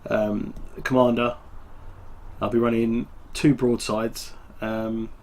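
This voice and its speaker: A man's voice: mid-range voice, takes it slowly. Bunged-up man with a cold. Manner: relaxed, rambling, full of ums. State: congested with a cold. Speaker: tabletop wargaming channel host. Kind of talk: casual hobby show-and-tell.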